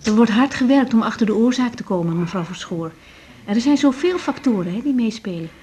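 A woman's voice: a little high, lively intonation, brisk, warm tone, a little hiss or hum, close to an everyday voice. A young woman acting a patient, tactful doctor in a 1980s naturalistic radio drama, explaining things carefully and reassuringly. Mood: gently reassuring. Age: young adult.

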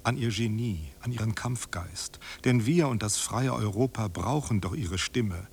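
Low-voiced, solemn pleading friend. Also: male voice, unhurried, a little mellow. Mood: imploring. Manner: solemn, imploring, rhetorical, formal. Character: earnest, pleading literary friend, refined, idealistic, anxious man. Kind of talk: German radio drama, like a letter read aloud.

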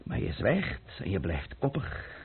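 An actor playing an imperious, scheming, middle-aged French count in a radio play, husky-voiced, haughty and lecturing. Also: male voice, low voice, huge pitch swings, a little slow, full character voice. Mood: grave, urgent. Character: imperious, scheming French count and royalist intriguer, impatient, sardonic. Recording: muffled, narrow-band old radio recording.

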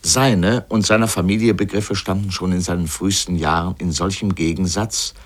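Low-voiced man narrating a literary radio piece. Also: steady tone, normal speed. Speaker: narrator reading a radio essay.

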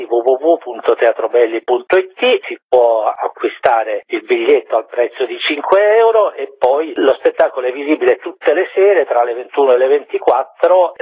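A man with a husky voice talks reflectively as an interviewed theatre director. He comes through a thin, echoey phone line, speaking off the cuff at a medium pitch. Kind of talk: spontaneous interview talk. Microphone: telephone.

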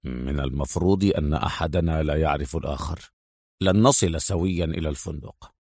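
A man's voice: low-pitched, moderate pace, breathy edge, no room sound, voice pushed down. A man voicing a stern, sardonic secret agent with a dry, cold manner in an audiobook. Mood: low, businesslike. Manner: dry, clipped, muttering through teeth. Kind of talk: acted audiobook dialogue.

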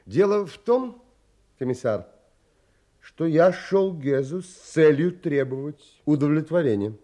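An actor playing a composed man narrating his own story in an audio drama, measured and earnest. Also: on the high side, expressive, warm tone.

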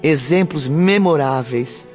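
A man's voice: fairly high pitch, slow pace, darkish tone. A man narrating a radio drama from a script in a solemn, stately style. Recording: muffled radio play over faint music.

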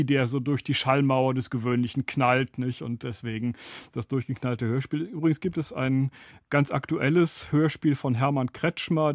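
A man's voice: mid-range voice, darkish tone, no echo. Man explaining in a dry studio. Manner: articulate, analytical, learned wording. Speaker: radio drama expert, studio guest. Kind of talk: explanatory studio interview talk.

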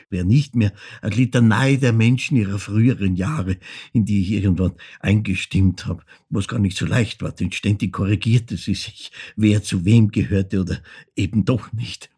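An actor playing a haunted older man with an Austrian accent, telling of his mother in a halting, intimate theatrical monologue with a husky, creaky voice. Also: fairly low pitch, mellow. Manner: halting, brooding, shifting into whispers.

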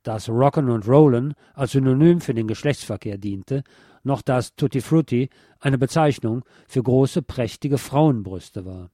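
A man with a soft, mellow voice narrates a scripted music history feature as a radio host. The recording is very dry and close, with no room sound around him. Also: mid-range voice, a little slow, no echo.

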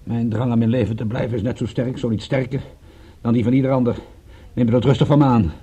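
An actor in a radio drama playing a wry, wary private detective with a dry, hesitant, understated manner. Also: male voice, medium pitch, steady tone, fast, mellow, slightly rough, natural voice.

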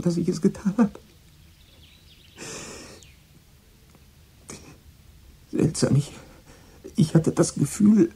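An actor playing a troubled, guilt-ridden American boy of sixteen in a German radio drama, speaking haltingly and repeating words in agitation. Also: high voice, warm tone, slightly rough, heavily acted. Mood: anguished.

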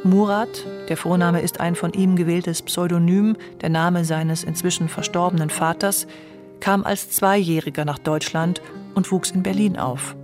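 A woman narrating a radio documentary in a calm, measured, scripted way. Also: fairly low pitch, over music.

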